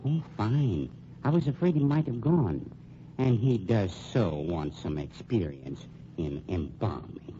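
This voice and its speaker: An actor playing a menacing, coolly polite funeral home boss in a radio crime drama, speaking in a formal, deliberate, mannered way. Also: male voice, on the low side, very animated. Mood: silky menace. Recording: dull lo-fi old radio recording, background noise.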